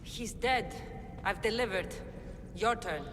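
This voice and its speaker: A woman's voice: medium pitch, slow pace, slight background noise, lightly acted. An actress playing a tough, defiant young female mercenary in a video game, blunt and coolly confident. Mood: businesslike. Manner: cool, blunt, dryly confident. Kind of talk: video game cutscene acting.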